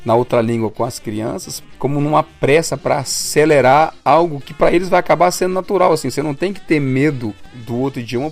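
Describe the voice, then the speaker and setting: A man's voice: medium pitch, gravelly. A Brazilian man co-hosting a casual podcast, explaining things at length with a few restarts and corrections. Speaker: podcast co-host.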